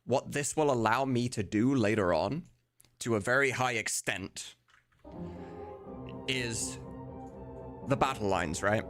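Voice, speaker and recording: A man's voice: medium pitch, takes it slowly, pauses often. A man chatting casually on a live stream in an informal, sweary way, thinking aloud as he goes. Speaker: streamer and voice mod creator. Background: video game music.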